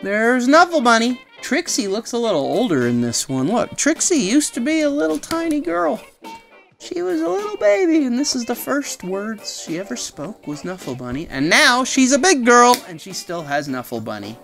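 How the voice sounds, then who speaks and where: A woman's voice: fairly high pitch, big pitch swings, normal speed, gravelly, faint music behind. A woman reading a children's picture book aloud, chattily explaining the pictures with casual asides. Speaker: read-aloud storyteller.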